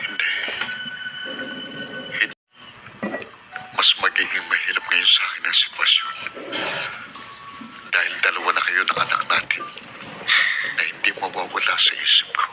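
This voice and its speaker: A man's voice: low voice, little pitch movement, normal speed, long pauses, natural voice. An actor playing an anguished, devoted young father in a Filipino radio drama, speaking earnestly and dramatically. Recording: noisy radio drama recording.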